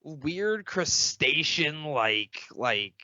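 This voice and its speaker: A man's voice: on the high side, very animated, drawn-out words, pushed and bright. A man hosting a casual podcast segment, chatting hesitantly with plenty of swearing. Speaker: podcast host presenting a segment. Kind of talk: casual banter about a fan wiki. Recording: dull lo-fi podcast audio.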